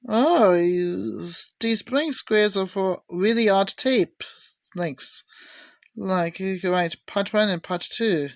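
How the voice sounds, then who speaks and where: A woman giving a casual, unscripted show-and-tell with halting, rambling delivery. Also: medium pitch, dry recording, clean studio sound. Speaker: video maker showing cassette tapes.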